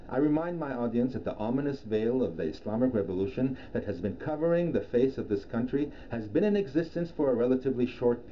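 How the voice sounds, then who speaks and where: A middle-aged man from Iran, a native Persian speaker, giving a formal, measured scripted presentation as a professional lecturer. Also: mid-range voice. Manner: formal, measured, deliberate phrasing. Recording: dull lo-fi sound, clear background noise.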